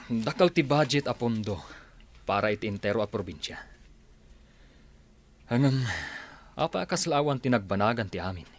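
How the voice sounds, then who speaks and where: An actor playing a respectful attorney and chief of staff, deferential and Ilocano-accented, in a radio drama. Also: male voice, medium pitch, animated, normal speed, pauses often, lightly acted. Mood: respectful, earnest. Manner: polite, deferential.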